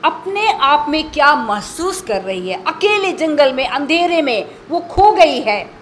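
A middle-aged woman preaching a sermon at a church pulpit, halting and deliberate, often repeating phrases. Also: high voice, big pitch swings, a little hiss or hum. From India. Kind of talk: sermon, partly read from notes. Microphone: gooseneck podium microphone.

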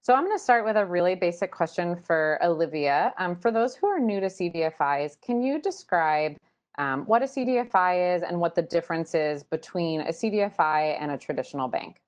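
American female moderator, thirties, low register, presenting and reading introductions on a video call. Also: lively intonation, a little fast. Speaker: panel moderator. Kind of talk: hosting an online panel, partly reading introductions. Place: small room at home, indoors. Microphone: wired earbuds on a video call.

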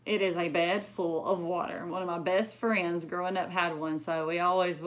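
A woman's voice: on the low side, fairly even intonation, moderate pace. A woman, reading a story aloud expressively to children, with chatty asides. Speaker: elementary school librarian reading aloud. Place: small lamp-lit room at home.

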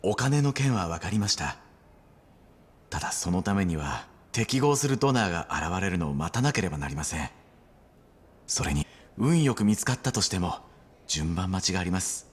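A man playing a calculating middle-aged surgeon in a video game drama, speaking in a measured, formal, subdued way. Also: sits low, big pitch swings, slightly rough, full character voice. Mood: grave.

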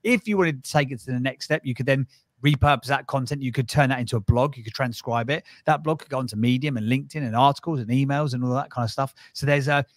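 Fast animated guy in his 30s, blunt advice, dry close studio mic. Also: medium pitch, dry recording. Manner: animated, direct, advice-giving. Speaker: podcast agency founder, guest. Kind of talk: casual interview chat. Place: small room with acoustic foam panels. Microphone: studio mic on arm, headphones.

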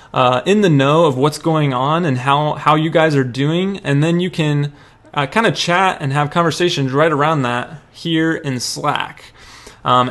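A young man with a somewhat high-pitched voice walks through a screen-recorded demo. His delivery is informal and conversational, like someone casually showing how a tool works from his computer. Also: fairly bright tone. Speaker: product demo presenter.

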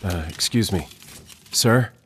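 An actor playing a cool, wary young American agent in a video game, speaking in a terse, understated, dry-humored way. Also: male voice, on the low side, takes it slowly, a little hiss or hum. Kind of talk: video game cutscene acting. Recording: loud game music over the voice.

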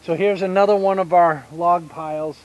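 A man in his fifties with a Southern US accent, giving a casual outdoor tour of his homestead and explaining each step with pauses. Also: high voice, steady tone, a little mellow, dull audio. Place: outdoors on rural land by a pine forest. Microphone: action camera held at arm's length.

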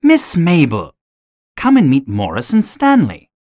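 A man playing a curious, good-natured middle-aged villager in an animated children's story, hearty and exclamatory. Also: fairly high pitch, measured pace, dry recording, studio quality. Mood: hearty, welcoming.

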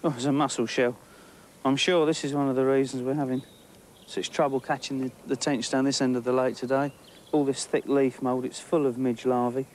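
Chatty middle-aged English angler outdoors. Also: male voice, mid-range voice, level tone, a little fast, darkish tone, slight background noise. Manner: chatty and enthusiastic, thinking aloud with small restarts. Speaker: angling presenter. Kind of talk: on-location nature commentary while fishing. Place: outdoors at a tree-lined lake bank.

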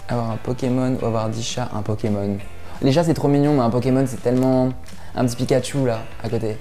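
Mellow-voiced young man, hesitant and giggly. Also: mid-range voice, fairly even intonation, fast talker, faint music behind. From southern France. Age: young adult. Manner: hesitant, laughing, full of "euh" fillers. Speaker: guest youtuber. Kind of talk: casual quick-fire question game. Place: small room indoors. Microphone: lapel mic.

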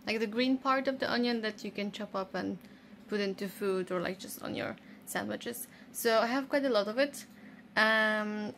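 A woman's voice: mid-range voice, a bit bright. Chatty young woman, faint room hiss. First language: not English. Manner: chatty, easygoing, self-explaining. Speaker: vlogger. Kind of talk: casual vlog chat. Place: home bedroom, indoors.

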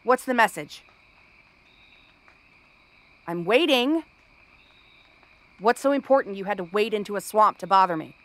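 A woman's voice: mid-range voice, unhurried, long pauses, close to an everyday voice. A woman playing a cranky, sarcastic exiled princess cursed into a frog girl, in a fantasy audio roleplay. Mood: irritated, bratty. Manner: snappish, sarcastic, tsundere tomboyish bluster. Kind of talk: fantasy ASMR roleplay acting.

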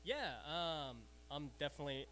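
A man's voice: on the high side, steady tone, moderate pace. A young man, a baseball infielder, answering post-game questions in an earnest, modest way into a handheld mic. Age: young adult. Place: indoors in front of a sponsor backdrop. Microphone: handheld interviewer mic.

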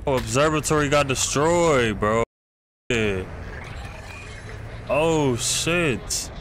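A man gives casual live gaming commentary in a laid-back, slangy way. He talks slowly, with long easy gaps, and there is faint game noise behind him. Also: medium pitch, a bit bright. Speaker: game streamer.

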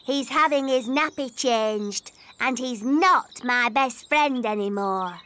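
An actor playing an expressive, bossy little princess of about four in a children's cartoon, with emphatic, childlike delivery. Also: medium pitch, slow pace. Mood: sulky. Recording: music behind the voice.

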